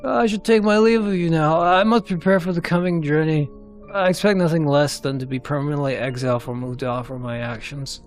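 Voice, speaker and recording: A man's voice: a little high, fairly even intonation, brisk, soft background music, close to an everyday voice. A man voicing an anguished, apologetic, self-doubting mage in a narrated game cutscene, with a hesitant, pleading manner. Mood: remorseful.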